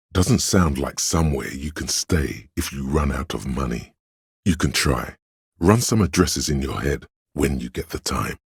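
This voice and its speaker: A man playing a grave, erudite inner encyclopedia voice in a game, narrating in a measured, dryly sardonic way with a gravelly, husky bass. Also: no room sound, studio quality, heavily acted. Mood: musing, probing.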